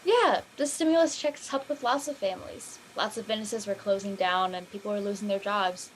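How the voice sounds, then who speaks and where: A woman playing a calm middle-aged mother recalling her youth in a drama reading, explaining matter-of-factly. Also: medium pitch, a little fast, slight background noise, natural voice, poor audio. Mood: reflective.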